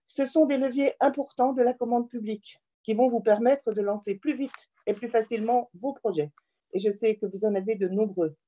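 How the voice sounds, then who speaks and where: Female elected official, formal speech, lightly high pitch, very mellow timbre, earnest and measured. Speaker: member of parliament addressing local mayors.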